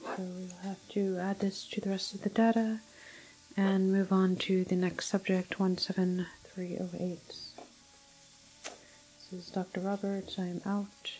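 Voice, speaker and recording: A woman playing a detached female doctor in an ASMR roleplay, dictating a medical log softly and haltingly, often half in a whisper. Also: medium pitch, little pitch movement, lightly acted, poor audio. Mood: resigned, detached. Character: clinical female doctor logging a doomed quarantined patient.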